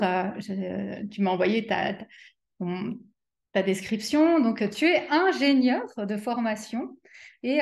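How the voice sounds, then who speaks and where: A woman's voice: a little high, darkish tone. A middle-aged woman hosting a casual interview, speaking with many fillers and restarts. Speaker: interview host and coach.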